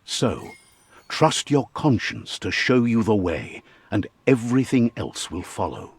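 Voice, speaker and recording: A wise, whimsical storyteller for a fable video game. His voice sits a little low and has a husky grain. The delivery is theatrical and philosophical, swinging widely in pitch as he addresses the listener directly. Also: sing-song, unhurried, exaggerated voice. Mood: reflective. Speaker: narrator.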